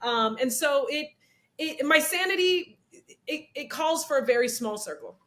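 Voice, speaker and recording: Young woman, kinda raspy, pretty high voice, chatty and laughy, acts out quotes in an interview. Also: expressive, normal speed, a bit bright. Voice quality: slightly raspy, low-set voice. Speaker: actress and comedian, interview guest. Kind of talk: candid interview conversation.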